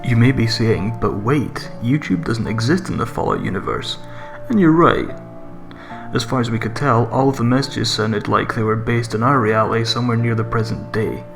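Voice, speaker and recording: A man's voice: mid-range voice, normal speed, vocal fry. A man reading a scripted horror story as a narrator, with music behind him. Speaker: creepypasta narrator.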